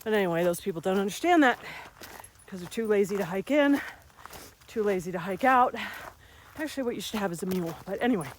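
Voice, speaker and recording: A woman's voice: medium pitch, quick pace, pauses often, slight background noise. A woman in her fifties, chatting animatedly in a casual vlog as she walks uphill outdoors, a little out of breath. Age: 50s. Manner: chatty, animated storytelling with laughs. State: slightly out of breath from walking uphill. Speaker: vlogger and health coach. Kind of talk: casual vlog while walking. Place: outdoors on a rural uphill trail.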